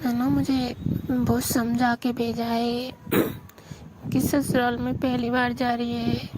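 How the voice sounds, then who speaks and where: A young woman on a phone call, confiding and recounting a story in a hushed way. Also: fairly high pitch, flat delivery, takes it slowly. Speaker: caller. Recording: phone call recording with hiss.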